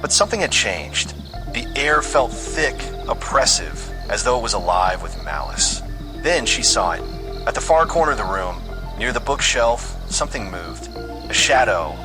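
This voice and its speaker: A man's voice: medium pitch, big pitch swings, normal speed. A man reading a scripted horror story with dramatic, suspenseful delivery. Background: music under the voice.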